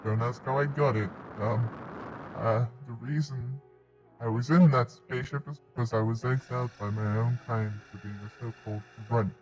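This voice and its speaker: A man's voice: medium pitch, steady tone, darkish tone, lightly acted. A man voicing a shy, socially awkward alien creature, speaking hesitantly in an odd voice for a Minecraft roleplay. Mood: awkward, flustered. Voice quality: odd put-on voice. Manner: hesitant, halting, mumbling, timid. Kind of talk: first-person story narration in Minecraft roleplay. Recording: dull lo-fi mic, faint music behind.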